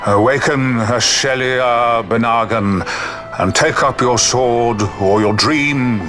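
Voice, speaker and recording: An actor playing an ancient, serene non-human seer in a fantasy game, speaking solemnly with archaic, oracular phrasing. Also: male voice, mid-range voice, slow, music in the background, lightly acted. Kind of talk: dramatic video game voice acting.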